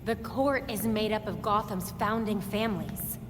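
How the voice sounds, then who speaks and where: A woman playing a steady young vigilante leader in a video game, firm and explanatory. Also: mid-range voice, normal speed, lightly acted. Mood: reassuring, serious. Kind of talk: dramatic video game acting. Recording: long reverb, noisy background.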